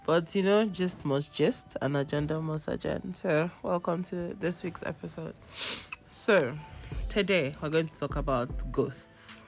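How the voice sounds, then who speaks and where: A young Nigerian woman with a cold, losing her voice, hosting a podcast and telling a story in a chatty, animated way. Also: low voice, unhurried, soft background music. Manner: chatty, animated, acts out texts in quotes. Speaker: podcast host. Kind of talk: casual storytelling.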